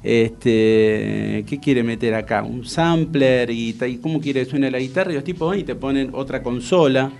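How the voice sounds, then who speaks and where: A man with a Rioplatense Argentine accent hosting a radio interview, telling anecdotes in a casual, animated way and acting out what people said. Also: medium pitch, normal speed, vocal fry, few pauses. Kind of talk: casual chat, storytelling.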